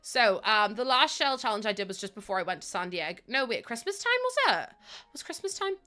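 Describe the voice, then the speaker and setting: A woman's voice: mid-range voice, very animated, a little fast, bright, forceful tone. Chatty Northern Irish woman streamer. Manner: chatty, self-mocking, rambling with many fillers. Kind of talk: casual live-stream chat.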